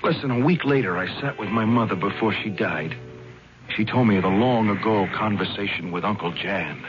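An actor in a 1970s radio drama playing a big, earnest, cocky young football player with casual, slangy speech. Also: male voice, lowish voice, fairly quick, lightly acted. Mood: reflective. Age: young adult. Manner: casual, slangy American talk. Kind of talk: 1970s radio drama acting, first-person narration and dialogue. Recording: dull old radio broadcast with music.

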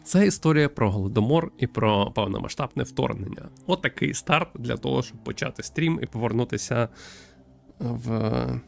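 A man in his thirties from Ukraine, thoughtfully and haltingly commenting on a visual novel as he livestreams on a close mic. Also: mid-range voice, animated, gravelly, darkish tone. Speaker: game streamer. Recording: music behind the voice. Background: background game music.

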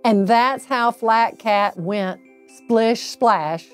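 Slow-paced middle-aged woman reading to children. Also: fairly high pitch, clean tone, soft background music. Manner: unhurried, deliberate phrasing with drawn-out emphasis. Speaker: storybook reader, local insurance agent. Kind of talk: children's bedtime story read aloud.

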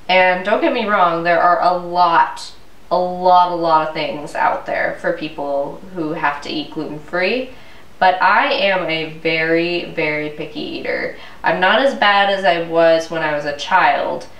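A woman's voice: lowish voice, a bit bright. A young woman with a cold, sounding stuffy and congested, ranting casually to her vlog camera at home. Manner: chatty, exasperated ranting. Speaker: vlogger. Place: living room at home, indoors. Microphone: camera mic from a few feet away. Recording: roomy, distant camera audio.

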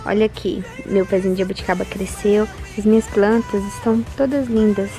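A woman's voice: medium pitch, steady tone, fairly quick, mellow. A young woman from Brazil giving a chatty home tour, filming herself on a handheld phone in and around her house. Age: young adult. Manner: chatty, addresses viewers directly. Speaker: home vlogger. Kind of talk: casual home tour vlog. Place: house and yard, in and out of doors. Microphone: phone held at arm's length. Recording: music playing underneath.

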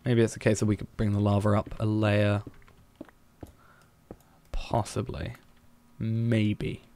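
A man's voice: lowish voice, monotone, slow pace, vocal fry. A man streaming a building game, thinking aloud in a hesitant, musing way as he plans. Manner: musing, hesitant, planning out loud.

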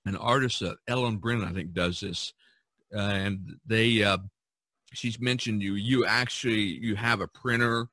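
Male podcast host, bright forward tone, dry close sound, loose chuckling chat. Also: on the low side, steady tone, normal speed, dry recording. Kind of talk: casual chat among artists.

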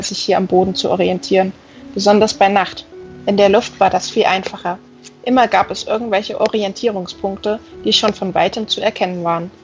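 A woman narrates a novel from a script in a mid-range voice with a gravelly creak. The recording is a little dull and lo-fi, with music faintly underneath. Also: medium pitch. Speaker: audiobook reader.

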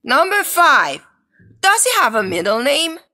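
A woman reading scripted English dialogues and exercise questions for a learners' audio book, clear and deliberate. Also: high-pitched, sing-song, sharp tone. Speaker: textbook audio reader.

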